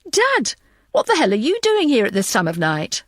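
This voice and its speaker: A woman voicing an anxious, wry detective in an audiobook, animated and given to exclaiming. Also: on the high side, moderate pace, no room sound, clean studio sound, full character voice. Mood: flustered.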